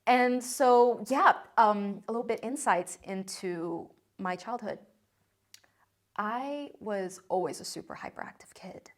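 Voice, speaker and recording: A woman's voice: medium pitch, unhurried. A woman in her twenties with an American accent, talking casually to camera in a chatty, upbeat way with frequent "like" and "um" fillers. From China. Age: 20s. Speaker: content creator. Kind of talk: casual vlog talk to camera.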